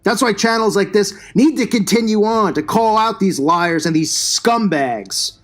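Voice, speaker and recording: A man's voice: high voice, expressive, unhurried, a bit bright. A man hosting his own channel, delivering a combative, scornful religious commentary rant. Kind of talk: religious commentary rant over news clips.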